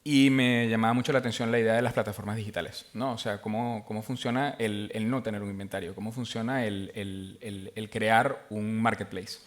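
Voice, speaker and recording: Man from Venezuela, panel guest, low-pitched, halting conversational delivery. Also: fairly even intonation. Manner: halting, with many fillers and restarts. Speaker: panel guest, tech executive.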